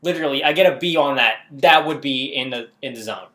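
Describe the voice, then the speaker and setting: A man's voice: mid-range voice, fast talker, pushed and bright. A man giving casual, exclamatory gameplay commentary as a video host, often putting himself down. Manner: self-deprecating, exclamatory.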